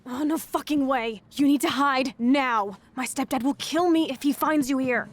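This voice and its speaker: A woman's voice: high-pitched, moderate pace. An actress playing a rebellious, foul-mouthed teenage punk girl in a video game, brash, slangy and sarcastic. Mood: irritated, urgent.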